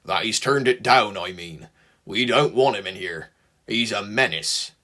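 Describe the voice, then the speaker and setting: A man acts a suspicious storybook steam engine. He has a West Country English accent and speaks bluntly and gravely, with insistence. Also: low voice, unhurried, sharp tone, exaggerated voice. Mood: grave dislike.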